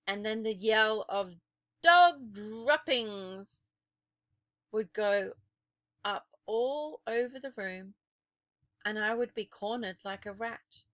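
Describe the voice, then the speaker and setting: A woman narrating a children's story expressively, with dramatic, deliberate phrasing. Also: medium pitch, a bit bright, dry recording.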